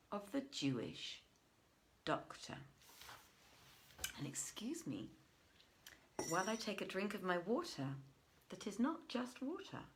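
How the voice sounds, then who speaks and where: A middle-aged woman reading old tales aloud in a deliberate, theatrical way, with emphatic pauses. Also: fairly low pitch. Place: indoors, living room by a fireplace.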